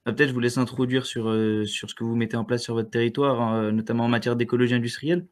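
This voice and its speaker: A man's voice: mid-range voice, little pitch movement, fast talker. Hesitant young man moderating an online panel. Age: young adult. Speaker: webinar moderator. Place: indoor room, on a video call. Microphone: video call.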